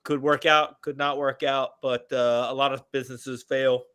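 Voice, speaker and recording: Man, forties, podcast co-host, bright tone, crude jokes, rambling. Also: medium pitch, level tone, moderate pace, clear, resonant tone, no room sound, studio quality. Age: 40s. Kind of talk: casual banter.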